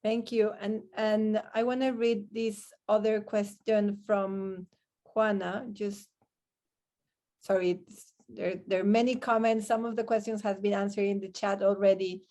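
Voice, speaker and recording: A woman's voice: mid-range voice, flat delivery, measured pace, dark tone. A woman moderating an online discussion and putting questions to the panel.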